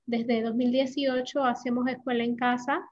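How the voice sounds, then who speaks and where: A woman in her forties with a light Puerto Rican accent, a guest coach talking earnestly in a casual interview, often pausing on fillers. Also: a little high, little pitch movement, highs cut off. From Puerto Rico. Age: 40s. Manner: earnest, fluent, with many fillers.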